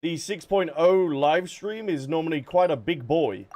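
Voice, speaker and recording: Higher-pitched man chatting on a game livestream. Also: expressive, unhurried, darkish tone, slight background noise. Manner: chatty, addresses viewers by name. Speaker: live streamer. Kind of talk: casual game livestream chat. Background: game music.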